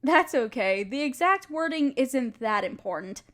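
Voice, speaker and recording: A woman playing a kind, patient alchemist who gently teaches and reassures an apprentice in an intimate audio roleplay. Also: high-pitched, animated, a little slow. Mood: instructive, encouraging. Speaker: voice actor in a scripted audio roleplay. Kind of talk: intimate scripted roleplay, one-sided conversation with listener.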